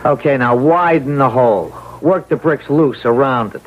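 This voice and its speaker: An actor playing a callous, menacing armed crook in a classic radio drama, tough, sneering and slangy. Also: male voice, mid-range voice, normal speed, close to an everyday voice. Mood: bossy, mocking. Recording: tinny, noisy old radio recording.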